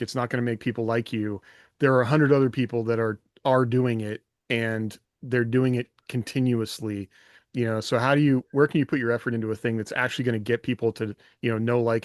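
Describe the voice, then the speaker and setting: A man's voice: mid-range voice, no room sound, studio quality. A man co-hosting a casual podcast chat, speaking informally as if thinking aloud. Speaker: podcast co-host and fiction writer.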